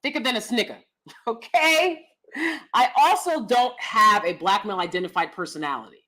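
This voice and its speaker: A young adult woman hosting a casual commentary vlog, loud and blunt, cursing freely. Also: mid-range voice, expressive, normal speed. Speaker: vlog host.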